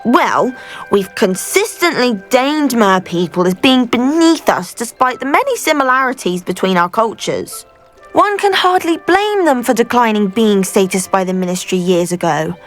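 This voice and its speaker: An idealistic British schoolgirl witch for a video game. Her voice is fairly high and very lively in pitch. She is effusive and well-spoken, with faint music underneath. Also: moderate pace. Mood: passionate. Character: eager, idealistic teenage schoolgirl witch fascinated by merpeople. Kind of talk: video game dialogue acting.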